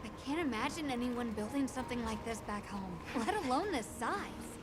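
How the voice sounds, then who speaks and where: Woman as animated, high-voiced field companion. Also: normal speed, noisy background, lightly acted. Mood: amazed. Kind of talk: video game cutscene acting. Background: music.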